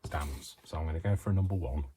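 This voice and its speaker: A British man from the UK chatting through a crafting tutorial at home, rambling, self-deprecating and quick to giggle. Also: extremely low pitch, dark tone, slight background noise. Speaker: craft vlogger.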